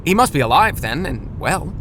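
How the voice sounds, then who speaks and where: A man playing an anxious, caring, gently chiding father in a children's audio drama. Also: a little high, sing-song, normal speed, a little hiss or hum. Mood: concerned.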